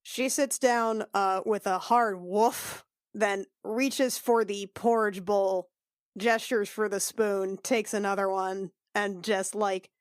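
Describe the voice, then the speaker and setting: A woman talking casually as a tabletop game player, slipping into roleplay in a halting, wry, sweary way. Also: mid-range voice, steady tone, clear, resonant tone, no room sound, studio quality.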